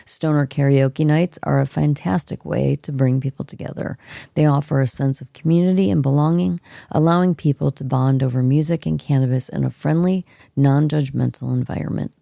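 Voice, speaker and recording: A woman co-hosting a podcast with a hoarse, froggy voice, reading an article aloud in a relaxed, unhurried way. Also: low voice, no room sound, studio quality. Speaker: co-host. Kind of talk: reading an article aloud, then casual chat.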